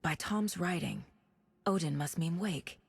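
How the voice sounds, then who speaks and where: Female FBI investigator, video game drama, intuitive, intent, slightly low crackly voice, noisy ambient backdrop. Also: measured pace, vocal fry. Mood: focused, concerned. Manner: intent, questioning. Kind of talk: cinematic video game acting.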